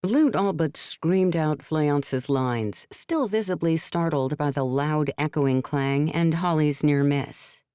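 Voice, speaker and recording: Lady reading an audiobook, pretty deep voice, super clean and dry, measured storyteller. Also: mellow, clear, resonant tone, no room sound. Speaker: audiobook narrator. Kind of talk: scripted narration of a novel.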